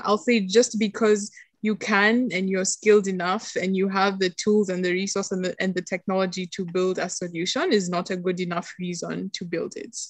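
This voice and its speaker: Woman panelist on a video call, dry close sound, mid-pitched, measured and thoughtful. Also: dry recording. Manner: measured, thoughtful, with fillers and restarts. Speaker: panelist, machine learning fellow. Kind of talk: casual panel discussion.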